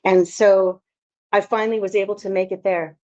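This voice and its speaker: Woman, from Alaska, filmmaker presenter, anecdotal storytelling, medium pitch, dull lo-fi sound. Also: fairly even intonation, normal speed, warm tone. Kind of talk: conference presentation. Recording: dull lo-fi audio.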